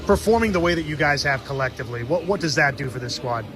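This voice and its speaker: Male pregame host, fairly high voice, sports radio interviewing, faint music behind. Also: expressive, fast talker.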